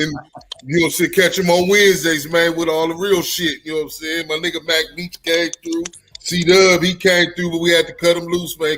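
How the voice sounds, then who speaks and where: Bright-voiced man hosting a casual podcast. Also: a little high, a little hiss or hum. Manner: laid-back, heavy slang, repeats "you know what I'm saying". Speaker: podcast host.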